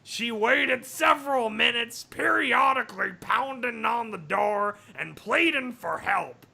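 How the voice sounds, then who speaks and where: A man putting on a raspy, strained, grating comic narrator voice to read a story in a mock-dramatic way. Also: extremely high pitch, lively intonation, slow pace, bright, forceful tone, exaggerated voice. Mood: strained, exaggerated. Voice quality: raspy, gravelly. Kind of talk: mock-dramatic reading of fan fiction.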